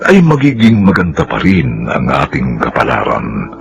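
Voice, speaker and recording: A man gives solemn, scripted radio drama narration in a low, gravelly voice. His delivery is slow and measured, with music playing behind him. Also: wildly swooping pitch, dull audio. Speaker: narrator and director.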